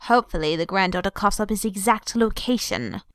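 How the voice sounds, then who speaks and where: A woman playing a brisk, wry pony police sergeant in an audio drama. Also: mid-range voice, no room sound, clean studio sound, close to an everyday voice. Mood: brisk, sarcastic.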